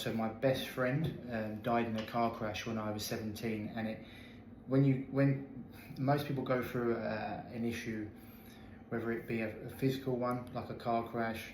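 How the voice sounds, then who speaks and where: A man's voice: on the low side, background noise. A man in his thirties, speaking as a guest health coach, telling his own story in an earnest, candid way with many restarts. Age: 30s. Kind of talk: interview storytelling. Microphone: video call. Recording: echoey video call audio.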